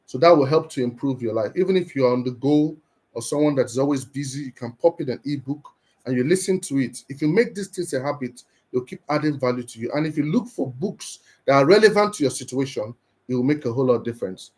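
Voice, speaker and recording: A man's voice: medium pitch, lively intonation, fast, darkish tone. A man from Nigeria giving an earnest, encouraging motivational talk on a live stream, often repeating and restarting his words.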